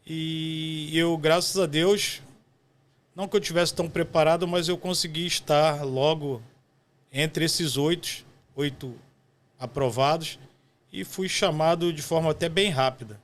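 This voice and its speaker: A man in his fifties speaks as a podcast guest. He has a Rio de Janeiro accent and a somewhat high voice for a man, and he answers questions in a conversational manner. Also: measured pace. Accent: Brazilian, Rio de Janeiro. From West Zone of Rio de Janeiro, Brazil. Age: 50s. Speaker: podcast guest, postal union director. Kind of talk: conversational interview answers, reminiscing.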